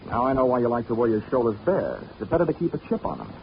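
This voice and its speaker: A wisecracking insurance investigator with a dry delivery and a lively pitch. It comes through as a dull old radio broadcast with steady hiss. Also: male voice, quick pace, vocal fry. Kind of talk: 1940s radio acting.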